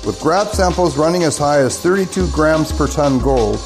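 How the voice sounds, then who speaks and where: Higher-pitched man reading a scripted promo. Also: animated, moderate pace, rough recording. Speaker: company president delivering sponsor ad. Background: music bed.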